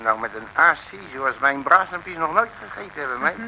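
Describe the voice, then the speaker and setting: An actor playing a brash, jovial, cheeky detective with a folksy, swaggering manner in an old Dutch radio serial. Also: male voice, on the high side, animated, brisk, bright, forceful tone, heavily acted, rough recording. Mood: scheming. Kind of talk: 1950s-60s Dutch radio serial acting. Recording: old radio recording with faint noise.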